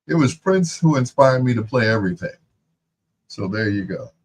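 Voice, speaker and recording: A man's voice: medium pitch, very animated, normal speed, breathy edge. A man hosting a radio music show, chatting casually and telling playful personal anecdotes.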